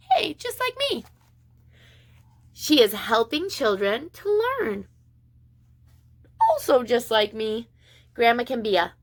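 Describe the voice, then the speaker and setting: A woman preschool teacher reading a picture book aloud to young children in an expressive, sing-song way with deliberate pauses. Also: on the high side.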